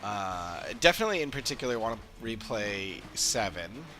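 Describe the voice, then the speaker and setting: A man chatting casually as a game streamer, rambling and halting as he talks. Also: lowish voice, very animated, bright, forceful tone, a little hiss or hum. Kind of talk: casual livestream chat. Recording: game music behind the voice. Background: video game music.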